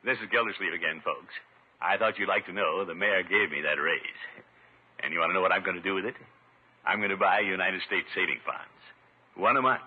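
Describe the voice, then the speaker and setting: An actor playing a pompous, excitable middle-aged water commissioner in a blustering 1950s radio comedy style. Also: male voice, sits low, a little fast, background noise, natural voice. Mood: genial, confiding. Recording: muffled, narrow-band 1950s radio recording.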